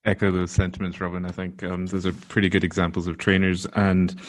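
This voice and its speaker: A man with a low voice hosts an interview podcast. He asks his questions in an upbeat, friendly way. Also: completely flat delivery, brisk, a little hiss or hum. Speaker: podcast host. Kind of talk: hosting an interview.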